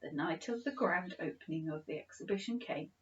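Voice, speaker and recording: A woman reading a children's story aloud as an expressive bedtime storyteller. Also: fairly low pitch, a little fast, lo-fi sound.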